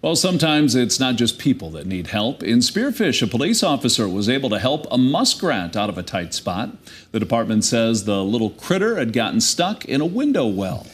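A man reading local news as a co-anchor in a television studio, with a crisp, formal delivery. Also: mid-range voice, big pitch swings, moderate pace.

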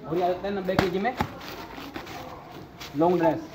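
A young man hosting a vlog, walking through a large warehouse and pitching clothing stock with eager salesman patter, filmed on a handheld phone. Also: fairly even intonation, normal speed, very noisy. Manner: eager salesman patter, repeatedly urging viewers to look. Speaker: vlogger showing wholesale clothing stock. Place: large indoor warehouse full of clothing bales.

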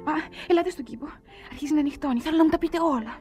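A woman's voice: high-pitched, darkish tone, faint music behind, natural voice. An actress playing a sweet, lovestruck well-born young woman in an old radio play, girlish and coaxing. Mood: wistful, coaxing. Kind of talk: old Greek radio theatre acting.

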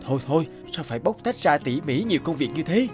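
Actor as a grumbling, dismissive young husband. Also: male voice, a little high, very animated, fast, music in the background. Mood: incredulous. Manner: grumbling, condescending. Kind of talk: animated moral-tale voice acting.

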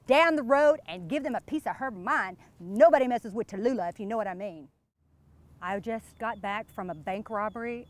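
A woman's voice: a little high, dry recording, lightly acted. An American woman, probably in her thirties, playing a flirty, giggly, boastful glamorous wife in an improvised, gushing mock interview. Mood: sassy, boastful. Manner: coy, gushing, suggestive, giggly. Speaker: interviewee in character. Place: outdoors beside a lake.

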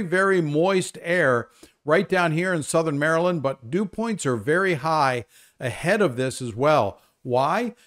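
Higher-pitched man giving a weather briefing. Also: fairly bright tone. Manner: explanatory, conversational. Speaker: weather presenter. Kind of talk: live unscripted weather briefing.

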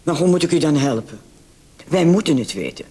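A man playing a brisk, condescending emergency doctor on night duty in a vintage radio play. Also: on the high side, sing-song, darkish tone. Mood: brisk, questioning. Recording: old radio recording with clear hiss.

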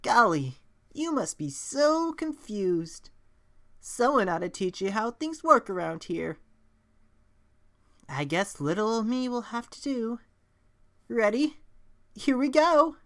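A woman's voice: fairly high pitch, big pitch swings, slow pace, pauses often, fairly bright tone, heavily acted. A woman voicing a sadistic young talking flower from a video game, folksy and saccharine with menace underneath. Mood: sugary, coaxing. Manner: saccharine folksy cheer turning to menacing sneer. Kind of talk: video game character impression.

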